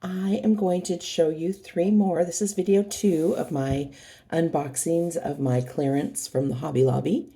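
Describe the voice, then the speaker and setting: A middle-aged woman with a hoarse voice from a cold, chatting casually and rambling as she unboxes craft kits for her channel. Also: deep voice, steady tone, unhurried, dark tone. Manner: rambling, chatty, many fillers and restarts.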